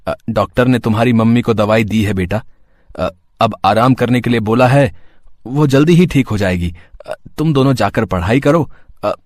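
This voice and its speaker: A man's voice: medium pitch, normal speed, no room sound, clean studio sound, natural voice. Man acting as an earnest, devoted husband. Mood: caring, reassuring. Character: earnest, devoted husband, humble sweet-seller and father. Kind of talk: dramatized moral story dialogue.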